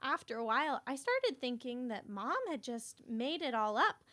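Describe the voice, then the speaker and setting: A woman's voice: on the high side, very animated, normal speed, pushed and bright. A woman playing a chirpy, self-absorbed schoolgirl who narrates her own story in a radio drama. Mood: chirpy storytelling. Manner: chirpy, oblivious, earnest. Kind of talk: campus radio serial drama acting.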